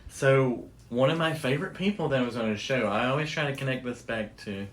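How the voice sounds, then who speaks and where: A man with a mid-pitched voice hosts a casual conversation. He explains his subject with enthusiasm, speaking informally as if to a friend across from him. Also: a little fast, slight background noise. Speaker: podcast host. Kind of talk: casual chat about radio history.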